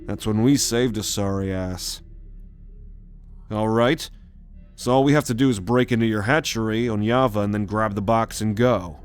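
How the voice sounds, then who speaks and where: A man's voice: on the low side, moderate pace, clear, resonant tone, soft background music, close to an everyday voice. A man playing a cocky, commanding alien rogue with a sardonic manner in an ASMR roleplay audio drama. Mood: confident. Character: cocky male alien rogue, bold leader on a mission.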